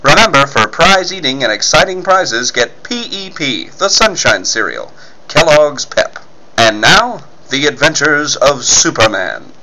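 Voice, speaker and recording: A man's voice: on the high side, big pitch swings, measured pace, crowd noise, poor audio. A man reading scripted narration and ad copy as an emphatic, punchy 1940s-style radio announcer. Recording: faint background noise.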